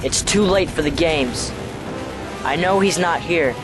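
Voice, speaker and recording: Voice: mid-range voice, a little slow, natural voice. An actor playing a possessed teenage boy in an audio drama, swinging between needy pleading and cold taunting. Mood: menacing, taunting. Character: possessed teenage boy, menacing yet pleading with his mother. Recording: music under the voice.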